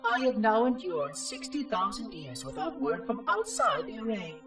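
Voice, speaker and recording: An actor playing a small floating machine caretaker who talks in a precise, formal and oddly cheerful way. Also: male voice, sits high, big pitch swings, normal speed, distant mic, music in the background. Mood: solemn. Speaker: monitor of an installation. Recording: game score playing behind.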